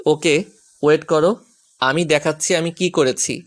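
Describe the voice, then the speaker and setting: A man's voice: on the high side, unhurried, natural voice. Man acting teasing, grumpy young boyfriend. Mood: defensive. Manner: teasing, mock-annoyed. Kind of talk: romantic audio story acting.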